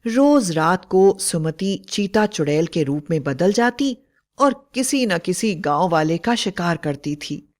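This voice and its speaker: A woman's voice: lowish voice, lively intonation, slow. A woman narrating a scripted horror fairy tale in a dramatic storytelling style.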